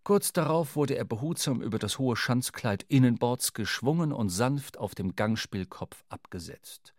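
A man's voice: mid-range voice, expressive, moderate pace, darkish tone, dry recording, studio quality, lightly acted. A man reading scripted literary narration as the storyteller of an audio drama. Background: faint music.

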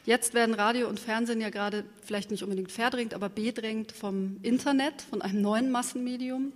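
A woman's voice: on the high side, fairly even intonation, a little fast. A woman moderating a live interview, phrasing her questions in a hesitant, searching way. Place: indoor hall, live event. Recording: reverberant hall.

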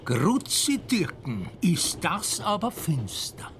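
High-voiced, vain old Austrian gentleman. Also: sing-song, slow, slight background noise, exaggerated voice. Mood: smug chattering. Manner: self-important, chatty. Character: vain, chattering, sly old Austrian privy councillor. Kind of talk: radio play dialogue.

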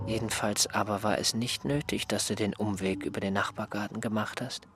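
A man acting an aggrieved, smug former servant in a radio drama, reproachful and pedantic, with a husky, breathy voice. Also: on the low side, fairly even intonation, brisk, over music, close to an everyday voice. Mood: smug, self-righteous. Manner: reproachful, self-righteous, pedantic.

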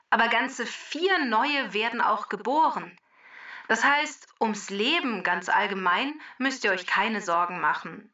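Bright know-it-all computer. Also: female voice, a little high, distant mic, muffled recording, full character voice. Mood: upbeat, correcting. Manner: brisk, precise, self-assured lecturing. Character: know-it-all, cheerful female talking database computer. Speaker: co-host database character. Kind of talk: scripted explanation in a children's podcast.

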